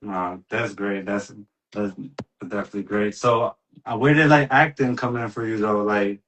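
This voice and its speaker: Laid-back man hosting, halting and slangy. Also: fairly low pitch, steady tone, a bit bright, slight background noise, low-quality recording. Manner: loose, halting, slangy with many "um"s. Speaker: podcast host. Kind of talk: casual interview.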